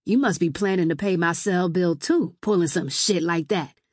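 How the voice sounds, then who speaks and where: A woman voicing a gruff, brash, bossy woman smoker in audiobook dialogue. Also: on the low side, normal speed, darkish tone, no echo, clean studio sound. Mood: irritated, bossy.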